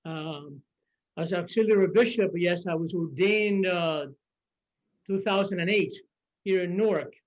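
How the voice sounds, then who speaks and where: An older man with a Cuban Spanish accent, a bishop giving a formal conference address over a video call, measured with hesitant fillers. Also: high-pitched. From Cuba. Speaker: auxiliary bishop, conference speaker. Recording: dull lo-fi video call audio.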